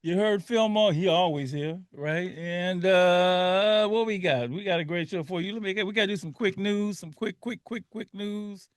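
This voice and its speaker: A man from Liberia hosting a community radio talk show, telling stories with lots of laughter and animated bursts. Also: sits high, moderate pace, hardly any pauses, no echo, clean studio sound. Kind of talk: casual radio chat.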